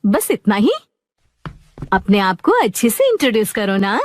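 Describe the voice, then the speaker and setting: A woman's voice: mid-range voice, very animated, moderate pace, darkish tone, lightly acted. A woman playing a patient kindergarten teacher in a cartoon dub, coaxing and instructive. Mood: encouraging. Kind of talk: Hindi cartoon dub acting.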